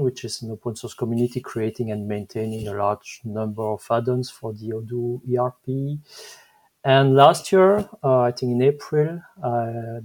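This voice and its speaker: A Belgian man in his fifties with a French accent, a podcast guest answering questions in a thoughtful, halting way as he searches for words. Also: mid-range voice, darkish tone, low-quality recording. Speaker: guest, software engineer. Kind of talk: interview answers.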